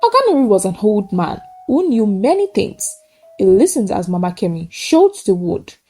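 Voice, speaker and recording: Woman storyteller with very animated, swooping pitch. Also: faint music behind. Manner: dramatic, short measured phrases. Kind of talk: scripted folktale narration.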